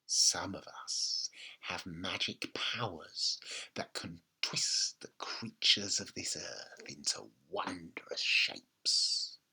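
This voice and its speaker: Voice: low-pitched, pushed and bright, voice pushed down, exaggerated voice, rough recording. A reader voicing a nasty, witchy old grandmother in a storybook, bossy and gloating, with a throaty voice and menacing emphasis. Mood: sinister whispering. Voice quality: throaty, raspy, whispery at times. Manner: snapping, slow menacing emphasis.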